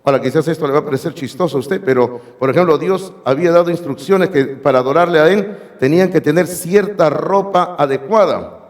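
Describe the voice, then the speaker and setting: Hoarse male pastor, emphatic sermon delivery to the congregation, reverberant church hall. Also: a little high, brisk. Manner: emphatic, addresses the congregation directly. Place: church sanctuary.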